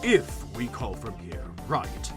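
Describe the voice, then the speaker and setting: A man voicing a calm, resolute male detective and father in a game fandub, measured and dry in his delivery. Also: medium pitch. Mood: reasoning, wry. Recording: voice under prominent music.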